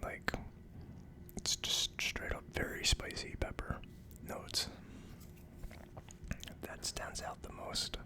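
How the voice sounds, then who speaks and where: An ASMR host speaks entirely in a hushed whisper, with almost no voice behind the words. The delivery is a casual, conversational tasting review. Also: slow, long pauses. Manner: hushed, unvoiced whispering throughout.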